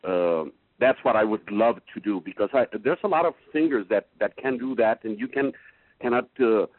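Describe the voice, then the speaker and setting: A man with a baritone voice, a singing student talking in a halting way that restarts words often. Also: animated, dry recording. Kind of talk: casual chat in a lesson. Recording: tinny call line, faint hiss.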